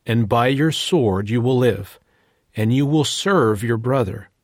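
A man voicing an aged, trembling patriarch father in a dramatized scripture reading, with a slow, solemn, quavering delivery. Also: mid-range voice, dry recording, studio quality, natural voice.

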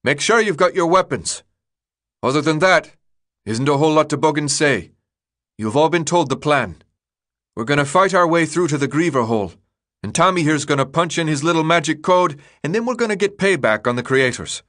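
Man voicing a forceful, higher-pitched teenage boy leader. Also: lively intonation, moderate pace. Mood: firm, rallying. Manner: forceful, rallying, colloquial. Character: rousing teenage boy leader, blunt and defiant. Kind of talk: audiobook character dialogue.

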